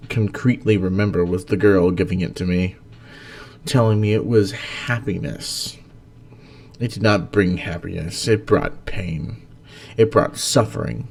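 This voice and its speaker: A man's voice: low-pitched, darkish tone. A man who hosts and narrates stories, reading in an earnest, dramatic way and chatting casually about them. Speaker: host and story narrator.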